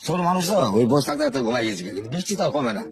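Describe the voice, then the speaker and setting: Fast-talking older man. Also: a little high, very animated, crowd noise. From Bangladesh, Sherpur. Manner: unhurried rustic storytelling, repeating words. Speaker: interview guest. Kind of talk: telling his life story in an interview.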